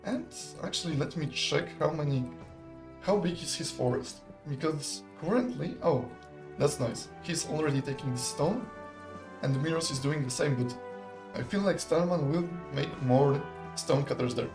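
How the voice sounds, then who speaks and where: A young man, commentating a live strategy game in a relaxed, chatty, analytical way and laughing often. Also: a little high, normal speed, music in the background. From Poland. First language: not English. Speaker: esports caster.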